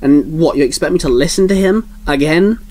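Curt, scornful young antihero, read by a man, fairly high voice. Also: a little fast, a little mellow, natural voice. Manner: snappy, dismissive.

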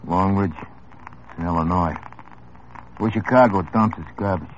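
An actor playing a small-time hoodlum in an old radio drama, tough, wry and world-weary. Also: male voice, deep voice, unhurried, slight background noise. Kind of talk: 1950s radio drama acting. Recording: muffled old radio broadcast.

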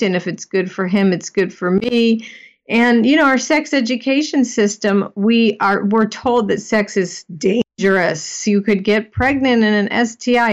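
Woman talking sex ed, earnest, lots of you-knows, kinda dull lo-fi audio. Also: mid-range voice. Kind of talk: conversational podcast chat.

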